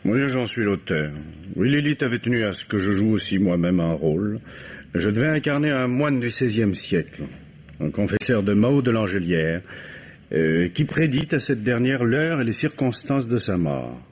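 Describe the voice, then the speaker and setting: An actor playing a middle-aged count and bereaved husband, hesitant, formal and courteous, in an old radio mystery. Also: male voice, lowish voice, sing-song, moderate pace, slightly rough. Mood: subdued, hesitant. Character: count, grieving husband, writer, polite suspect being questioned. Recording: dull vintage radio drama recording.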